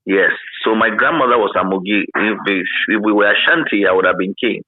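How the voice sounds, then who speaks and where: Phone-like sound, man, Ghanaian accent, fifties, low voice, casual reminiscing, repeats phrases. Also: a little fast, breathy edge, no echo. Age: 50s. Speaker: interview guest, former radio pioneer. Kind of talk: casual reminiscing interview answers. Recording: narrow phone-like line.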